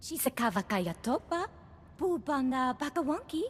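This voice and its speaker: An actress playing an animated female alien in a video game, speaking a bouncy invented tongue. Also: high-pitched, normal speed, slightly rough, in a large hall, full character voice.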